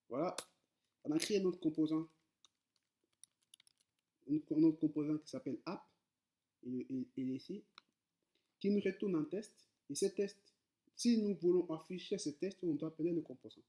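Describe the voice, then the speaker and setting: A man's voice: fairly high pitch, dark tone. Hesitant non-native French-speaking man. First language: not French. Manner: hesitant, many fillers and repeated words; addresses viewers directly, informal. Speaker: coding tutor. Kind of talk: casual screen-recorded coding tutorial.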